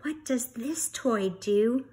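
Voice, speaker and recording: A woman's voice: on the high side, lively intonation, takes it slowly, warm tone, pitched above the performer's own voice. A woman voicing a polite, curious young boy character in a children's storybook.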